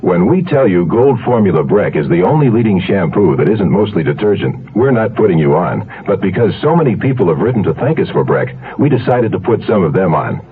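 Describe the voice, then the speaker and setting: Old muffled radio ad guy, low voice, animated sales pitch. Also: a little fast, hardly any pauses, slight background noise. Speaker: commercial announcer. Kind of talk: scripted radio advertising pitch.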